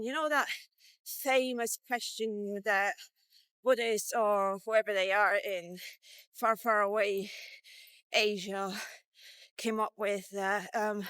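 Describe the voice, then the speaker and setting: A woman in her fifties speaks in a fairly high voice at a very unhurried pace. She thinks out loud in a casual, rambling monologue, blunt and freely swearing. The sound is dry and close, with no room around it. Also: clean tone, no echo. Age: 50s. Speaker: vlogger. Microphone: walkie-talkie vlog, recorded on the go.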